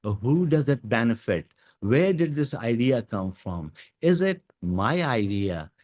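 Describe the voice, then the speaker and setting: A man whose first language is Urdu gives an educational talk to teachers, with many pauses and fillers. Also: medium pitch, sing-song, darkish tone. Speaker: guest speaker.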